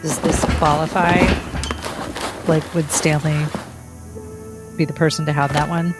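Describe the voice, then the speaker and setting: A woman doing in-character tabletop role-play drama in a measured, deliberate, restrained way. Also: low-pitched, level tone, loud music behind the voice. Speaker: role-play game player.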